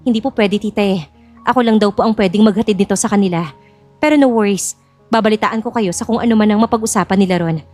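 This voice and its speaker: Babaeng gumaganap na magalang, mautak na dalaga. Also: female voice, medium pitch, fast, darkish tone, soft background music, natural voice. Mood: polite, sly. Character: young bride-to-be, polite, quietly cunning.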